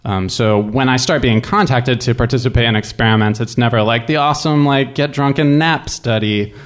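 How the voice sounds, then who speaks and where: A young man telling a personal story casually, in a rambling, halting but animated way. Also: mid-range voice.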